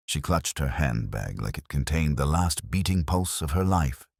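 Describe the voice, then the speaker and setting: Very deep-voiced man narrating detective fiction. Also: husky, no room sound, clean studio sound. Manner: wry, hardboiled storytelling. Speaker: audiobook narrator. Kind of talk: scripted first-person detective story narration.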